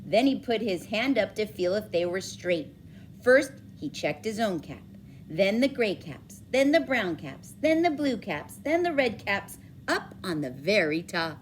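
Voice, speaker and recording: A woman reading a children's story aloud expressively, with dramatic emphasis and drawn-out words. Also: mid-range voice, big pitch swings, slight background noise, rough recording. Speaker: storybook reader for schoolchildren.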